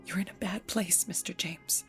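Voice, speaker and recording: Woman acting a grave landlady who knows too much, low hushed voice, ominous, audio drama w/ soft music. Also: steady tone, moderate pace, lightly acted. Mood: urgent warning. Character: grave, knowing island guesthouse landlady, warning of evil.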